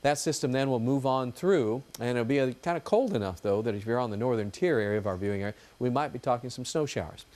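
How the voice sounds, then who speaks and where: A man's voice: mid-range voice, lively intonation, quick pace, hardly any pauses, darkish tone. A man giving an ad-libbed TV weather forecast in a chatty, folksy, informal way.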